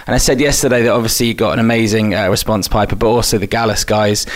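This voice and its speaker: A man's voice: lowish voice, fairly even intonation, quick pace. A man from Wales who sounds English, hosting a casual interview, chatty and self-deprecating with many "uh"s. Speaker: podcast host.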